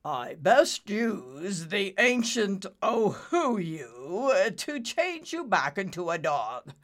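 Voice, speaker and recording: A woman's voice: mid-range voice, big pitch swings, takes it slowly, fairly bright tone. A woman voicing a bumbling, self-assured old male wizard in a storybook reading, with fussy, fretful muttering. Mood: pompous.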